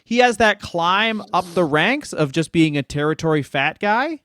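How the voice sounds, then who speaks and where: A man's voice: high-pitched, expressive, normal speed, fairly bright tone, no room sound, clean studio sound. A man co-hosting a podcast in casual comedic chat, swearing freely and laughing easily. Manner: profane, laughing, enthusiastic. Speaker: podcast co-host.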